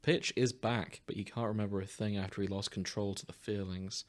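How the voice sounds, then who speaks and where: A man's voice: on the low side, steady tone, a little fast. A man hosting a podcast, bantering casually and jokily with his co-hosts in an enthusiastic way. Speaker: podcast host.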